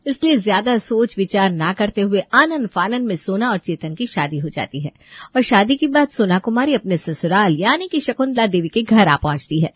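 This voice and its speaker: A woman's voice: medium pitch, expressive, moderate pace, husky, no room sound, lo-fi sound. A woman narrating a scripted comic story, chatting to the listeners as she tells it.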